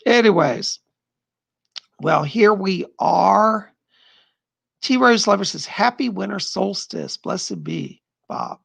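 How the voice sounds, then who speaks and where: Middle aged guy hosting a live stream from home, voice strained and gravelly, dry close sound. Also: high voice, big pitch swings, takes it slowly, long pauses, a bit bright, dry recording. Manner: chatty and rambling, laughing often. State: strained, losing his voice. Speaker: psychic livestream host. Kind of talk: casual live-stream chat reading viewer comments.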